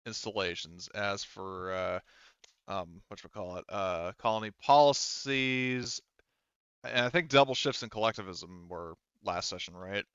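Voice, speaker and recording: A man with a fairly low, clear voice runs a tabletop roleplaying game as game master. He talks casually and haltingly, working things out aloud, on a dry, slightly dull recording. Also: fairly bright tone, dry recording.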